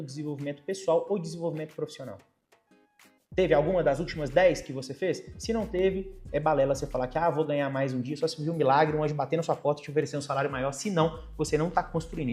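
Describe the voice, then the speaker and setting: Fast, blunt Brazilian finance host. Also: male voice, fairly high pitch. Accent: Brazilian Portuguese. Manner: blunt, profane, emphatic. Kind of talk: fast, blunt advice monologue.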